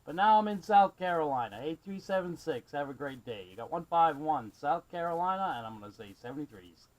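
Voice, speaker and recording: High-voiced excitable man on CB radio. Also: fairly quick, a little hiss or hum, poor audio. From Massachusetts, USA. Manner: excitable, friendly, prone to raising his voice. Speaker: CB radio operator running the channel from South Carolina. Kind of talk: amateur radio contact chat with callsigns and sign-offs.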